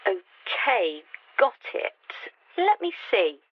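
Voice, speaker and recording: A woman playing an eager, knowledgeable researcher in an audio drama, heard husky and earnest through a telephone speaker. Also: mid-range voice, slow, no echo. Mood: informative. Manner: excitable, earnest. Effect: telephone speaker filter. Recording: dull, dry phone-speaker sound.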